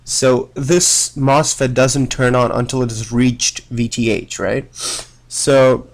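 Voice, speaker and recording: A man's voice: mid-range voice, fairly even intonation, slow, gravelly. A young man with a cold giving a casual electronics lecture, often checking in with "right?" and restarting his sentences. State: has a cold.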